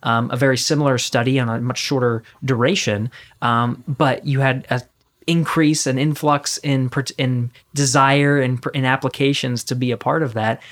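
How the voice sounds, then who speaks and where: Male podcast host, mid-pitched, conversational, halting as he rewords his thoughts. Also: steady tone, fairly bright tone. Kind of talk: conversational interview.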